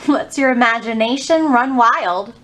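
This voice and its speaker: A woman's voice: on the high side, a little slow, a bit bright. A woman presenting a children's storytime, explaining things simply, asking young listeners questions and reading aloud.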